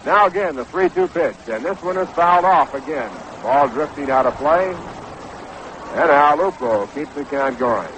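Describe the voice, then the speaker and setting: An American man calling baseball play-by-play on the radio in a measured, descriptive way. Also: a little high, lively intonation. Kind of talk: live radio sports commentary. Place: ballpark broadcast booth. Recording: noisy 1960s AM radio broadcast.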